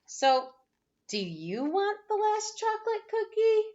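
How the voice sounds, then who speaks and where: Animated, high-voiced woman reading to children. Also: takes it slowly, lo-fi sound. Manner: expressive, dramatic storytelling for young children. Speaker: teacher reading a picture book aloud. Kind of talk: animated children's read-aloud.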